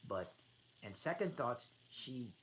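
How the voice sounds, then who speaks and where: A woman with a low voice reads a story aloud slowly and haltingly. Her intonation is lively, she often backs up to repeat words, and there is some background noise. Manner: halting, stumbling and re-reading words. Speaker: channel host, reader. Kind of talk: amateur storybook read-aloud.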